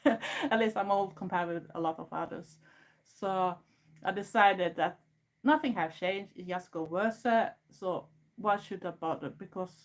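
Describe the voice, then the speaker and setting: Swedish woman in her fifties, lower-pitched voice, earnest and frank, casual live chat with viewers. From Sweden. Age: 50s. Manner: frank and earnest, plain non-native phrasing. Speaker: goth YouTuber hosting a livestream.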